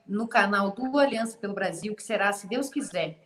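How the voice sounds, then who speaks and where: A woman's voice: mid-range voice, normal speed. Earnest woman from southern Brazil. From Santa Catarina, Brazil. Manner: earnest, lists points, some hesitations. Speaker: congresswoman guest. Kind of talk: political livestream talk.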